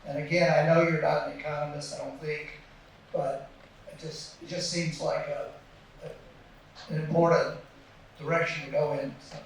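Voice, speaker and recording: A man's voice: a little high, flat delivery, normal speed, long pauses, a little hiss or hum. A man in the audience thinking aloud, rambling toward his question. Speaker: audience member. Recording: echoey hall from far off.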